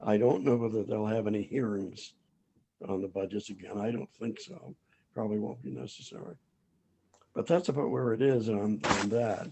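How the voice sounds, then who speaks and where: A hoarse man chairing a town board meeting, speaking haltingly with many pauses and restarts. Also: lowish voice, darkish tone, slight background noise, rough recording.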